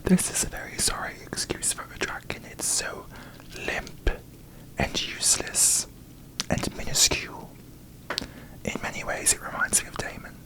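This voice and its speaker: A performer voicing a haughty, disdainful fantasy queen in a breathy ASMR whisper, with pompous, deadpan, regal diction, as a comic parody. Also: moderate pace, lightly acted, poor audio.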